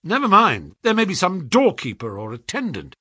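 Storybook duped young clerk male narrator: high, swooping, urgent and pleading, excitable. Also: normal speed, husky, dry recording, clean studio sound, full character voice. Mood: eager. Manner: groaning, crying out, urgent.

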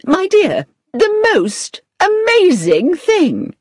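A woman voicing a fussy, excitable female employment agency manager in a storybook, speaking effusively and emphatically. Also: on the high side, measured pace, no room sound, studio quality, pitched above the performer's own voice. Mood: excited.

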